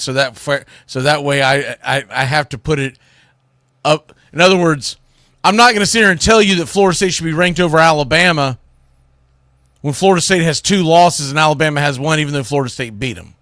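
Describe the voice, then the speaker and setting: Male solo sports commentator, somewhat high-voiced, opinionated and informal, close dry sound. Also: fairly bright tone, no echo. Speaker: sports talk show host.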